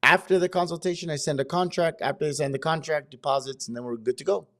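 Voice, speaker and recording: A man's voice: a little high, fairly even intonation, quick pace, fairly bright tone. Laid-back slangy wedding photographer man. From Mexico. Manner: laid-back, slangy, says dude and bro. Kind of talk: casual podcast chat.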